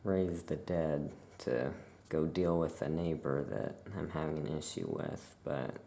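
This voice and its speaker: A soft-spoken ASMR performer role-playing a laid-back witch shopkeeper, musing casually and hesitantly to a customer. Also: very deep voice, measured pace, creaky.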